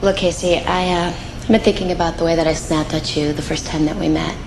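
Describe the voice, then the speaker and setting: Low-voiced, awkward crew woman. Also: steady tone. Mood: sheepish. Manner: halting, sheepish. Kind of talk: game cutscene acting. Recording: echoey, noisy hangar ambience.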